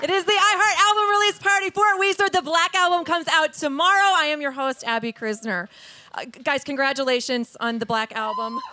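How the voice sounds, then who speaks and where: A woman's voice: high voice, a little fast, sharp tone, a little hiss or hum. A woman hosting a live event and interviewing guests on a small theater stage. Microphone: stage microphone.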